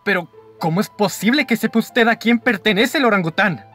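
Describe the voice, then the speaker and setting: Man acting a high-voiced, bewildered mystery character. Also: fairly quick, pushed and bright, soft background music. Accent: Mexican. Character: astonished, bewildered listener to a detective's deductions. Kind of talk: radio play acting.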